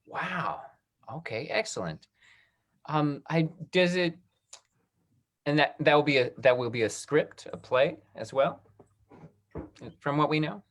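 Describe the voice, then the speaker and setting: A man's voice: fairly high pitch, lively intonation, unhurried, pauses often, fairly bright tone. A man hosting an online question-and-answer session, upbeat and encouraging. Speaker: talk back host. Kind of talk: video call Q&A. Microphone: video call.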